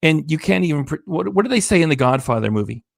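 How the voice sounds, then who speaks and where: A man's voice: a little high, expressive, fast talker, gravelly, dry recording, studio quality. Tired, indignant man ranting sarcastically. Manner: sarcastic, indignant, swears often. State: tired, losing his voice. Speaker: reaction channel host. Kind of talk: ranting livestream commentary.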